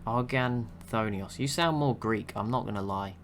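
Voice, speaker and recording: A young man giving relaxed, chatty commentary over a strategy game he is watching, laughing to himself now and then. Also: fairly low pitch, normal speed, background noise. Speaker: gaming channel host.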